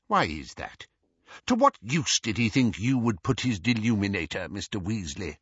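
A man voicing a stern, suspicious older government minister in an audiobook, with measured, insistent questioning. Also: on the low side, very animated, fairly bright tone, husky, no echo, lo-fi sound, exaggerated voice. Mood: probing, suspicious. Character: stern, probing older male government minister interrogating youngsters. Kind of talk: audiobook character voice.

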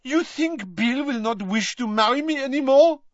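A man's voice: medium pitch, lively intonation, normal speed, slightly rough, dry recording, lo-fi sound. A man voicing a proud, fierce young bride who speaks in a haughty, formal way. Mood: indignant. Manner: haughty, forceful, formal phrasing.

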